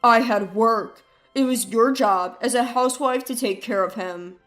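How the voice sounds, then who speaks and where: A woman's voice: a little high, unhurried, fairly bright tone, soft background music. A woman voicing an accusing, defensive husband in a narrated family story, blunt and confrontational.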